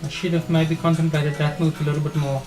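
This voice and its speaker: A man's voice: a little high, flat delivery, quick pace. South African man chatting over music. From South Africa and Australia. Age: middle-aged. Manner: informal, matey, thinking aloud. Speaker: chess streamer and player. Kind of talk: casual live game commentary and chat.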